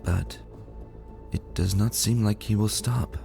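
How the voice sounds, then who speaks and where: A man voicing an ancient, gentle pony princess who speaks softly and pleadingly, in a dramatic fantasy story reading. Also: fairly low pitch, steady tone, takes it slowly, vocal fry, soft background music. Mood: resigned. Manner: soft, pleading. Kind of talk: dramatic fan-fiction reading.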